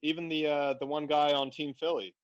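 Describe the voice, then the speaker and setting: A man from Afghanistan talking bluntly and animatedly in a casual podcast conversation, quick to laugh. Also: a little high, flat delivery, no room sound, dull audio. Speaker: podcast guest.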